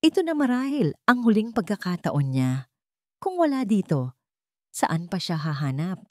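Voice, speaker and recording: A woman's voice: mid-range voice, big pitch swings, normal speed, a little mellow, dry recording, clean studio sound. A woman narrating a scripted story in a dramatic storytelling style.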